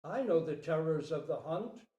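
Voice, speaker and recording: A man voicing a noble, selfless deer king in a storybook parable, grave, measured and resolute. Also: on the high side, lively intonation, warm tone, muffled recording, close to an everyday voice. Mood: earnest pleading. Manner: solemn, measured, pleading with dignity. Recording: roomy, echoing live recording.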